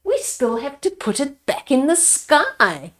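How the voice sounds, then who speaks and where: Woman putting on an exclaiming old village man, high-pitched. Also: sing-song, slow, a bit bright, heavily acted. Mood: cautioning.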